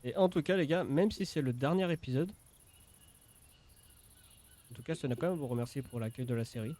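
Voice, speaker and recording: A man's voice: medium pitch, fairly quick, dark tone. Casual male gaming commentator. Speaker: gaming video creator.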